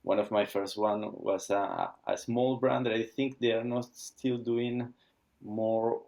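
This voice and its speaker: Slow, halting man. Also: fairly low pitch, lively intonation, creaky, darkish tone. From Argentina. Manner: halting, searching for words in English. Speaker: watch brand founder. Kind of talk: casual interview.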